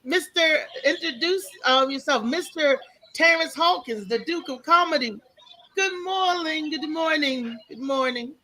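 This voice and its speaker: A woman's voice: high voice, a little slow, pushed and bright, low-quality recording. An American woman hosting a live morning show in casual, chatty talk, often repeating her words. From Maryland, United States.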